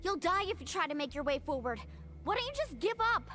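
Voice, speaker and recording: A young female guide with a high, animated voice. She is confident, brisk and encouraging, in polished anime-game style. Music plays clearly behind her. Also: exaggerated voice. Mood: firm, composed. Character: confident, bright young woman island guide, calm under danger.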